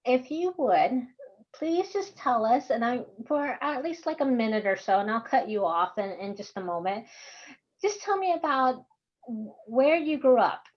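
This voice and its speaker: Texan lady teaching a zoom class, kinda high voice, chatty, muffled call audio. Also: sing-song, measured pace. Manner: chatty, friendly, laughs often. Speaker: course instructor. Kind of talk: online workshop teaching. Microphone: video call. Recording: dull video call audio.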